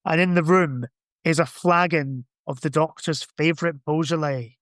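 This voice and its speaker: A man's voice: fairly high pitch, normal speed, fairly bright tone, no echo, studio quality. A man in casual podcast conversation, thinking aloud in a rambling way. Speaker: podcast guest or co-host. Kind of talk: casual chat.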